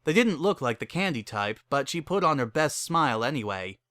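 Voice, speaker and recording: A man narrates a story in a dramatic reading style. His voice is mid-pitched, bright and forceful, recorded dry without room sound. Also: sing-song, brisk, dry recording.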